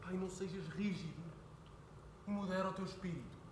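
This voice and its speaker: A young man playing an earnest son who pleads with his father, building to heated shouting. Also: sits high, steady tone, a little fast, noisy background, lightly acted. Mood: pleading. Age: young adult. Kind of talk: stage play performance. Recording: echoey hall with faint ambience.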